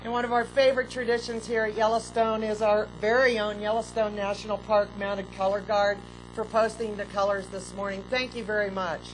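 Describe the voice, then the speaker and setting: Woman host at an outdoor podium, formal and gracious, mid pitch, noisy open-air PA sound with hum. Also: flat delivery. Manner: measured, gracious, with occasional fillers. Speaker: park superintendent giving ceremony welcome. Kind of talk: formal outdoor ceremony speech. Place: outdoors at a public ceremony. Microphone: podium microphone with PA.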